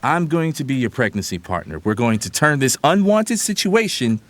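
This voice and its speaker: An actor playing a loyal, protective family lawyer, earnest and emphatic, in an audio drama. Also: male voice, medium pitch, sing-song, normal speed, lightly acted. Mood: reassuring.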